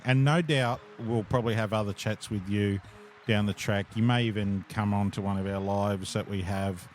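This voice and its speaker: A man's voice: fairly low pitch, darkish tone. A man hosting a casual podcast interview, rambling with lots of fillers and frequent laughs, talking over a call. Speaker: podcast host.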